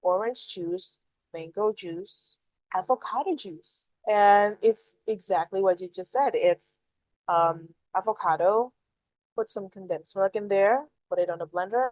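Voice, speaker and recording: A woman's voice: mid-range voice, unhurried, darkish tone. A woman, chatting casually as a podcast guest and explaining food customs. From Indonesia.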